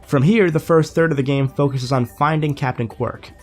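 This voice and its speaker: A man's voice: a little high, normal speed, soft background music. A young man with a slightly nasal, congested voice, recovering from a sinus infection and narrating a scripted video game review.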